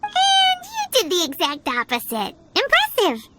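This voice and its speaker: A squeaky tiny fairy companion. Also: female voice, wildly swooping pitch, a little slow, very noisy, exaggerated voice. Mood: frank, concerned. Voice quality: squeaky, childlike. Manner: chirpy, blunt, talks in third person. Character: tiny, excitable, childlike floating fairy girl companion. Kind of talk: video game voice acting. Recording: loud jingly music behind.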